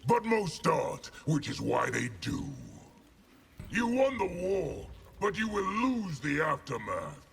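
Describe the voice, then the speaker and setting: An actor playing a stern, ambitious military general in a fighting game, speaking in a commanding, deliberate manner. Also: male voice, a little high, sing-song, vocal fry, long reverb tail, noisy background, exaggerated voice. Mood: imperious. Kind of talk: fighting game character dialogue.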